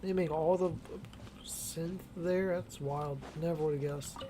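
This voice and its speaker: A woman's voice: low voice, steady tone, slow, dark tone, a little hiss or hum. A woman streamer giving casual, sarcastic commentary while playing a game. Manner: sarcastic, swearing. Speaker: gaming streamer. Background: faint game music.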